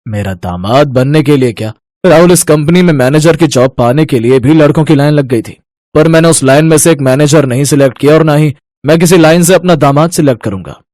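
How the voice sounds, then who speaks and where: An actor playing a genial, plain-spoken older company boss with an avuncular manner in a family storybook tale. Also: male voice, a little high, brisk, a little mellow, dry recording, close to an everyday voice. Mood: genial, assured.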